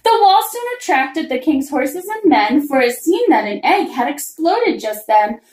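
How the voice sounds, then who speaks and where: A woman reading a rhyming children's story aloud in an expressive, singsong manner. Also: sits high, unhurried. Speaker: reader of a children's picture book. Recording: roomy, echoing room sound.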